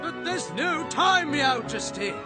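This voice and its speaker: A man voicing an anxious, deferential royal attendant in a comic animated game. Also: very high-pitched, slow pace, loud music behind the voice, exaggerated voice. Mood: flustered.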